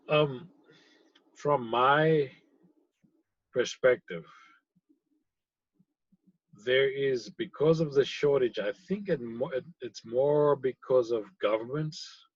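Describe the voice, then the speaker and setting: A man from South Africa, a pharmacy technician, answering interview questions thoughtfully, with frequent pauses and fillers. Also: medium pitch, slightly rough, no echo, highs cut off. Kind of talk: conversational interview.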